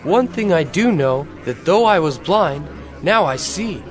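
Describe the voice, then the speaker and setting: A man's voice: fairly high pitch, expressive, unhurried, music in the background. A man acting an earnest, bold beggar, newly healed of blindness, in a dramatized audio Bible, speaking emphatically. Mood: bold, indignant.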